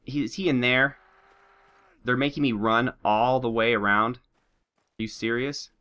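A man's voice: medium pitch, unhurried. A man doing joking gameplay commentary, slightly sick with a bit of a nasal sound and a sore throat. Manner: animated, joking, talks to his troops and viewers. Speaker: let's play host.

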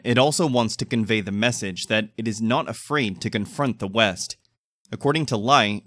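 A man's voice: on the low side, fairly bright tone, no room sound. A man reading scripted news narration as a news narrator.

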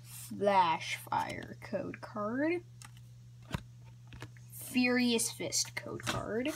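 A woman hosting a casual card-opening vlog, talking in a hesitant, rambling way with many ums and restarts, sometimes dropping to a soft whisper. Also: on the high side, expressive, extremely slow, background noise. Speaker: channel host.

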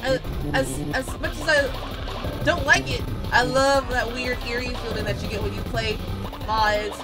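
High-voiced woman chatting over loud game music. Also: steady tone, unhurried, rough recording. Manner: chatty, rambling, exclaiming. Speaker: gaming video host. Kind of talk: casual reaction commentary over gameplay. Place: indoors, seated at a gaming setup.

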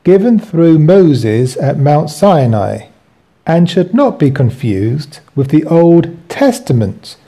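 A man hosting and reading from his own book with commentary, in deliberate, halting phrases. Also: on the high side, dark tone, slightly rough.

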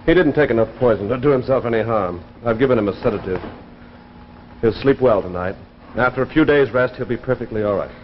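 A man's voice: mid-range voice, quick pace, lightly acted. An actor in his thirties playing an earnest, protective suitor in 1950s television drama, speaking firmly and reassuringly. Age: 30s. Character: earnest, protective young suitor, calm and firm. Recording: muffled, noisy old TV soundtrack.

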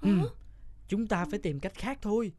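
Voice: mid-range voice, normal speed, a little mellow, dry recording, lightly acted. An actor voicing a clever, bossy young pencil child in a children's cartoon, talking in a methodical, explanatory way. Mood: matter-of-fact.

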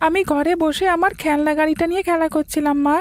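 A woman's voice: high-pitched, fairly even intonation, fast talker, dry recording, natural voice. A woman voicing a playful, hungry little schoolboy, childlike and eager, in a cartoon story. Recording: background music bed.

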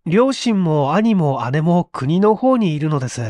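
A man's voice: fairly high pitch, big pitch swings, unhurried, a little mellow, dry recording. A man playing a polite, determined nineteen-year-old male immigrant in an audio story. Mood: earnest, polite.

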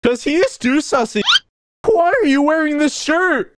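Woman doing a high-voiced, sneering cartoon bully. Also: moderate pace, background noise, close to an everyday voice. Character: mocking, snobbish rich cartoon game bully. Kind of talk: animated comedy skit dubbing.

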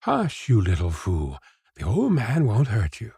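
A man's voice: fairly low pitch, wildly swooping pitch, measured pace, dark tone, slightly rough, dry recording, clean studio sound, full character voice. A man voicing a plain-spoken young village mother in a storybook reading, matter-of-fact with light exclamations. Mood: scolding, soothing.

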